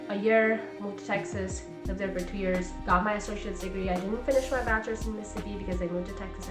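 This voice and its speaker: A woman in her twenties from Nepal talks through a casual personal vlog, reflective and chatty with plenty of fillers. Her voice sits at a middle pitch for a woman. The room is echoey, and music plays clearly underneath. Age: 20s. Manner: chatty, reflective, many fillers like "like" and "you know". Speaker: vlogger and coach. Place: home in California.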